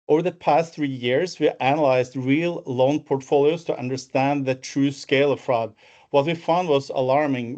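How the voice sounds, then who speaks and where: A middle-pitched man in his fifties speaks English with a Norwegian accent over a muffled, lo-fi video call. He presents a business webinar from an office, steady but full of "uh" fillers and restarts. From Norway. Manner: fluent with frequent fillers and restarts. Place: office, alone.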